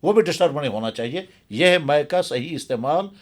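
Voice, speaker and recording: Earnest male cleric, slightly high voice. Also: expressive, brisk. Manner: earnest, emphatic, repeats phrases for stress. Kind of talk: explanatory religious talk in an interview.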